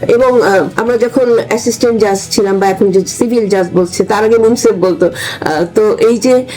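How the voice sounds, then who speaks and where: An older woman, a host and retired judge informally explaining law to an audience, often pausing to search for words. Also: medium pitch, mellow, soft background music, rough recording. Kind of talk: informal legal discussion for an audience.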